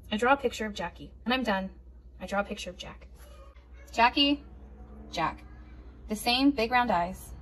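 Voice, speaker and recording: A woman reading a children's picture book aloud in an expressive storytelling style. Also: a little high, recorded from a distance, a little hiss or hum, poor audio. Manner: animated, acts out the characters' lines. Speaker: storybook reader.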